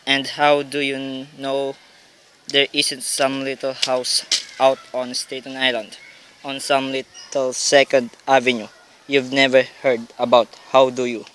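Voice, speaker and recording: A man's voice: medium pitch, monotone, a little slow, a bit bright, slight background noise, close to an everyday voice. A man playing a dismissive, patronizing police sergeant in a radio play, condescending and placating. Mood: skeptical.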